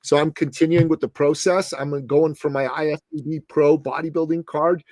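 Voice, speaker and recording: A man's voice: fairly high pitch, fairly even intonation, moderate pace, a little mellow, dry recording. A man in his late fifties, a success coach, talking in a podcast interview in an emphatic, punchy way. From Middle East. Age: 50s. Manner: emphatic, punchy, calls host "brother" and "man".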